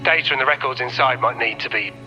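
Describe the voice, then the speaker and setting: A man playing a cagey, casual informant on a radio call in a game, halting and evasive. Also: medium pitch, sharp tone, dull audio. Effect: radio call filter. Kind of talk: dramatic game dialogue. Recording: band-limited radio call, music underneath.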